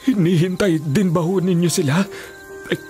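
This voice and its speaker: A fairly high-voiced older man, played by an actor in a Filipino radio drama. He is fatherly and protective, explaining folklore in a reassuring way, over a music bed. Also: moderate pace, mellow, lightly acted. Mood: grave, explaining. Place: small radio studio. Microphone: studio condenser microphone.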